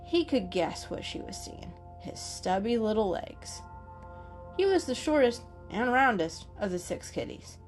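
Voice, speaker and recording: A woman's voice: a little high, sing-song, measured pace, soft background music. A woman narrating a children's story as a scripted dramatic reading.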